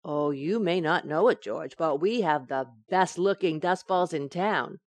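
An actress playing a wisecracking, coy, affectionate young housewife in an old-time radio sitcom, teasing with dry comic delivery. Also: female voice, low-pitched, unhurried, no echo. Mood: evasive, wry.

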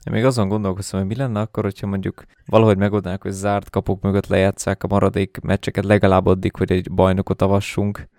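A man's voice: low voice, little pitch movement, few pauses, a little mellow, dry recording, studio quality. A man hosting a podcast, talking in a casual, conversational style. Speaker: podcast host.

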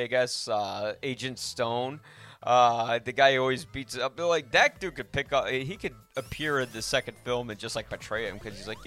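Bright-voiced man chatting about films. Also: mid-range voice. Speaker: podcast host. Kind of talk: casual film discussion.

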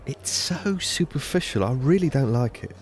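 A man's voice: mid-range voice, expressive, moderate pace, darkish tone, lightly acted. An actor with a British accent playing a nervous, self-deprecating man on a first date in an audio drama. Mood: wry, curious. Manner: hesitant, self-deprecating joking. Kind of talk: naturalistic audio drama dialogue.

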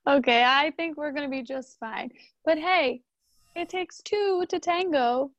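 An actress playing a tender, forgiving, emotional young wife in a radio drama. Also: female voice, high-pitched, unhurried, fairly bright tone, dry recording, muffled recording. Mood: warm, playful.

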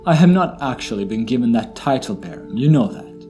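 A proud blood elf paladin, acted by a man, formal and refined in speech. He talks with lively intonation and a measured officer's composure, over a music bed, as in a fantasy audio drama. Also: medium pitch, lightly acted. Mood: earnest, resolute.

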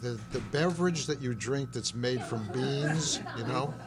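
A man's voice: mid-range voice, measured pace, crowd noise. A man in his seventies, from Connecticut with a New York accent, chatting eagerly with friends and asking them questions. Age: 70s. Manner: chatty, exclamatory, asks the group questions. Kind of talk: casual group chat. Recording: noisy crowded room.

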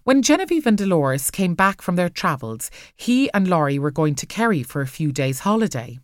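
A woman reads a story as an audiobook narrator. Her voice sits a little low and moves widely in pitch, expressive throughout, in a dry, close recording. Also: normal speed, dry recording.

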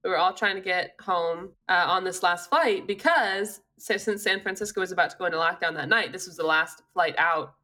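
An Australian woman co-hosting a casual chat, congested from an illness, telling stories in an animated way and laughing often. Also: on the low side, a little fast, hardly any pauses. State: congested, with a cough. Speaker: podcast co-host.

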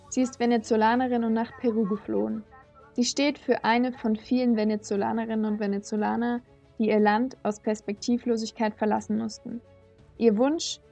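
Young female reporter, higher voice with strong fry, scripted reportage over faint music. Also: mellow. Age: young adult. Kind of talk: scripted radio reportage narration.

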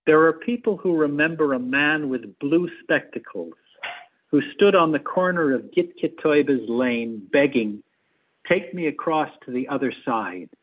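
A man, likely middle-aged, speaking as an interview guest in a measured, hesitant way, picking his words. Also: on the high side, expressive, slightly rough, narrow, tinny audio. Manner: hesitant, measured, repeats words while choosing them. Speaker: theatre adapter and actor, guest. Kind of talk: interview conversation.

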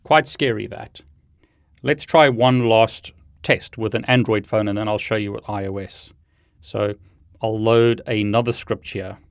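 Low, dry-sounding man demonstrating tech. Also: steady tone, unhurried, no echo. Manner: explanatory, step by step. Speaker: tech video host and instructor. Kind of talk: hands-on demonstration talk.

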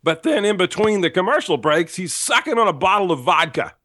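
A man's voice: high-pitched, big pitch swings, fairly quick, bright, forceful tone, no echo, studio quality, voice pushed up, heavily acted. A man voicing an alcoholic male clown who hosts a children's TV show. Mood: forced glee. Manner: boozy, forced cheer for children. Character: drunken male clown hosting a kids show.

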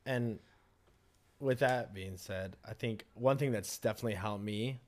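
A man's voice: on the low side, a little slow. A man co-hosting a casual talk show, rambling loosely with swearing and joking asides. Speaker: podcast co-host. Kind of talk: casual chat.

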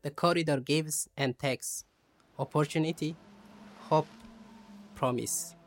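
A man's voice: on the high side, steady tone, slow pace. A man reciting poetically in a radio play as a weary, wistful working breadwinner.

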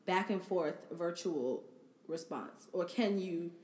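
A woman with a somewhat low voice co-hosts a casual chat, trading quick agreements and laughing a lot. The sound is roomy and echoey. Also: a little mellow. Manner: laughs often, quick back-and-forth agreement. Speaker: podcast co-host. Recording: echoey room.

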